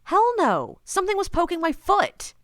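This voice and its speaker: Woman acting edgy young friend, high lively pitch, irritable, audio drama, dry recording. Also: moderate pace, no room sound, exaggerated voice. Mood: annoyed, uneasy. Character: jumpy, annoyed young friend at a sleepover.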